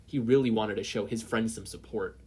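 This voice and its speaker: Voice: medium pitch, fairly quick, darkish tone, breathy edge. A narrator reading fan fiction aloud expressively, as a story with character voices. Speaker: podfic reader, narrator.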